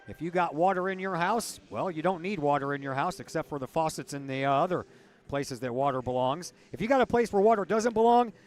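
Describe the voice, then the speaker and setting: A hoarse man announcing a high school basketball halftime show from the press box, reading stats and sponsor ads in a chatty way. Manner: chatty, self-deprecating asides between stat rundowns. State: hoarse, losing his voice. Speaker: play-by-play announcer. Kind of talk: halftime sports broadcast and read ads. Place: gym press box, alone.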